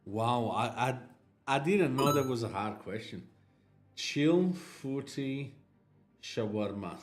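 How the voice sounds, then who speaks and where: A man's voice: mid-range voice, lively intonation, slow pace, faint music behind. A man from Italy live streaming, chatting casually with viewers in a rambling, stammering way with frequent laughs.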